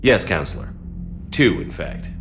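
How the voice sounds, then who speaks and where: A man playing a calm, dry, resolute military commander in video game cutscene dialogue. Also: sits low, unhurried, noisy background. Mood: earnest, questioning.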